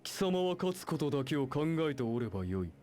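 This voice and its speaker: A man's voice: mid-range voice, big pitch swings, racing, mellow, faint music behind, lightly acted. A man playing a haughty, commanding imperial prince in a Japanese video game, with an imperious, dismissive manner.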